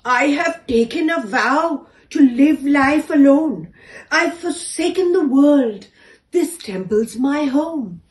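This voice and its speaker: A woman's voice: sits high, animated, slow, pitched above the performer's own voice. A woman voicing a proud, solemn sacred she-elephant who speaks in a haughty, grand way. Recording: echoey room, distant mic.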